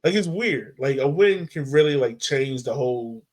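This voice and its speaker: A man co-hosting a sports podcast in informal, halting chat peppered with filler words. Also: mid-range voice, animated.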